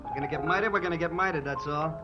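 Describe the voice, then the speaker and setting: A man's voice: a little high, very fast, lightly acted. An actor playing a breezy, tipsy-sounding man, a passenger in a 1940s radio drama. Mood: flippant. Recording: muffled old radio broadcast, music behind.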